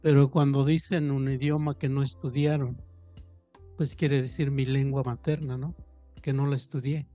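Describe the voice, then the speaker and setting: A man's voice: mid-range voice, level tone, moderate pace, warm tone, lo-fi sound, faint music behind. A man taking part in a translation workshop, asking tentative questions and qualifying his own points. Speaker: workshop participant.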